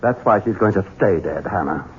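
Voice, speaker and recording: An actor playing a scheming, sardonic Southern heir with smooth menace in a 1970s radio drama. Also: male voice, low voice, animated, moderate pace, heavily acted. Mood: gloating. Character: scheming, gloating Southern heir, master of the estate. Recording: dull, noisy vintage radio broadcast.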